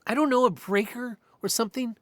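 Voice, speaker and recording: An actor playing a shaken, suspicious man in an audio drama, speaking haltingly and with exasperation. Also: very high voice, no echo. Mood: accusing, exasperated. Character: Greg, shaken, suspicious man unnerved by strange events.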